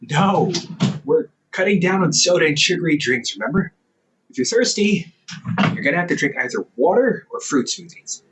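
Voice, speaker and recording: A man's voice: fairly high pitch, animated, moderate pace, a bit bright, distant mic, slight background noise, poor audio. A man voicing a caring, goofy older brother in an audio roleplay, playful and chatty, laughing often.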